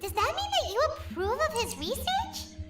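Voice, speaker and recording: A woman voicing a tiny, childlike female fairy companion in a video game, squeaky, excitable, nosy and blunt. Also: normal speed, over loud music. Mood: surprised, questioning. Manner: excitable, refers to herself by name. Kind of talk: video game cartoon acting.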